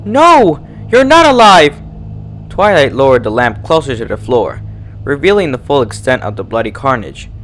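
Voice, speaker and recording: A man's voice: medium pitch, expressive, fairly quick, soft background music. A man reading a horror fan fiction aloud as its narrator, in a scripted storytelling style.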